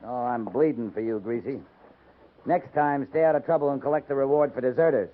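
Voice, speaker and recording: A man's voice: mid-range voice, brisk, close to an everyday voice. Man acting stern cavalry captain on tinny old radio. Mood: stern, dry. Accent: American. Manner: clipped, authoritative, dryly sardonic. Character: commanding male US cavalry captain, stern and dry. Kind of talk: 1950s radio western acting. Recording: tinny, noisy 1950s radio recording.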